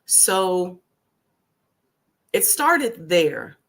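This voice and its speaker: A woman hosting a casual talk stream, her voice deepened by a cold, talking slowly with many pauses and ums. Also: big pitch swings, fairly bright tone. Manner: slow, drawn-out, many ums. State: deep voice from a cold. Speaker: host.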